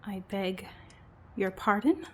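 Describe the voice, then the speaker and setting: A woman acting an earnest, wounded female game master in an audio drama, speaking with deliberate, emotive delivery. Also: mid-range voice, lively intonation, breathy edge. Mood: hurt, cold anger. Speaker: voice actor in an amateur audio drama.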